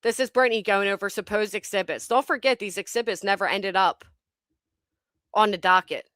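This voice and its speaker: A woman's voice: medium pitch, brisk, a bit bright, dry recording, clean studio sound. A woman hosting a commentary stream, talking bluntly and scornfully and swearing freely. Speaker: commentary channel host. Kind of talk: casual reaction commentary.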